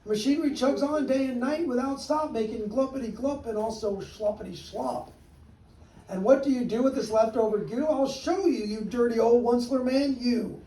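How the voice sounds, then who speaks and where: Man putting on a cranky little creature voice, high-pitched, coughing, scolding, storybook, roomy, far from mic. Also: moderate pace, dark tone. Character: small grumpy male forest creature, scolding.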